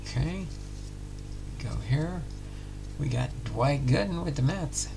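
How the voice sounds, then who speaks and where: Middle-aged guy opening packs, slow and gravelly, lots of noise behind him. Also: a little high, animated. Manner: unhurried, announcing each card plainly. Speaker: card-breaking channel host. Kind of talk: casual pack-opening commentary. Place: home room with card displays.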